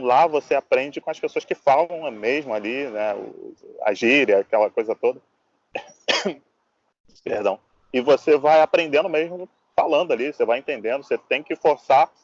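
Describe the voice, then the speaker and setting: A man's voice: mid-range voice, moderate pace, no room sound. A man hosting a casual interview, agreeable and fond of short replies and tag questions. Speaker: host. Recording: dull lo-fi sound.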